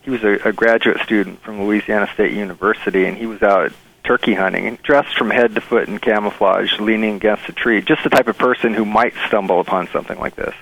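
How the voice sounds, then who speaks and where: A man being interviewed as a bird researcher, telling stories in an earnest, enthusiastic, halting conversational way. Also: on the low side, fairly even intonation, creaky, fairly bright tone, muffled recording.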